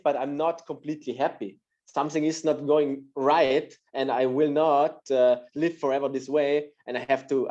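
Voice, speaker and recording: A man's voice: a little high, darkish tone, lo-fi sound. A man from Austria with an Austrian German accent, a native German speaker, telling his story on a video call, hesitant with many fillers. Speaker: guest speaker.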